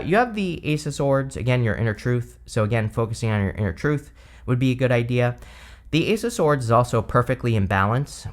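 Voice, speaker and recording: A man giving a relaxed, rambling tarot reading with lots of "you know" and "uh", his voice a bit hoarse from allergies. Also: mid-range voice. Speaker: tarot reader. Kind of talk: casual tarot reading to camera.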